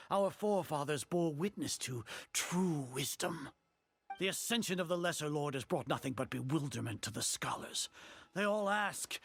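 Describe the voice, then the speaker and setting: An actor playing an arrogant older grand sage in a video game, speaking in a pompous, formal and condescending way. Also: male voice, on the high side, gravelly, fairly bright tone. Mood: scornful.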